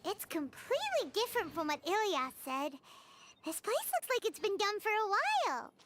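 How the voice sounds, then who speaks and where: A woman voicing a tiny excitable fairy companion in a video game, with a squeaky, chirpy voice. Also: moderate pace, slight background noise. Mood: curious.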